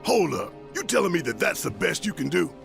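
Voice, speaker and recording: A man voicing a gruff, impatient but big-hearted rebel fighter in a video game, with a gravelly voice. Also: on the high side, sing-song, a little fast, music in the background, exaggerated voice.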